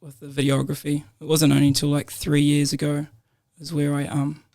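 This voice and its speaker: Laid-back New Zealand man, narrow pitch. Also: fairly quick, vocal fry. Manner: laughs often, relaxed storytelling with slang. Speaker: guest. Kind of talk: casual podcast chat. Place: podcast room.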